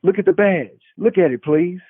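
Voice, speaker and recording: A man's voice: sits high, normal speed, husky, no room sound, narrow, tinny audio, voice pushed up. A man voicing a hot-headed, indignant police detective in a dramatic novel reading, slangy and blustering, on a band-limited recording. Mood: pleading. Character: hot-headed, indignant male police detective, tough-talking then desperate.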